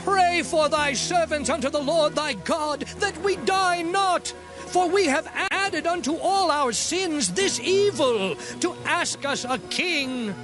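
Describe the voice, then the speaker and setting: Very high-pitched pleading commoner. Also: male voice, over music. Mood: fearful, pleading. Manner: imploring, drawn-out. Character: frightened pleading Israelite commoner.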